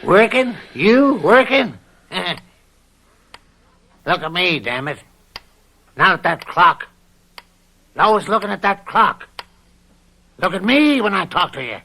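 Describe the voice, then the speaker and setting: An actor playing an evil, lecherous old farm uncle in a radio play, slow, bullying and taunting, on an old noisy tape. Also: male voice, sits high, very animated, gravelly, sharp tone, heavily acted.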